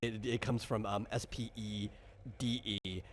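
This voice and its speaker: A man's voice: fairly low pitch, little pitch movement, a little slow. A man working as a game master, explaining rules in casual conversation in a patient, rambling, step-by-step way. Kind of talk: casual explanatory chat during character creation.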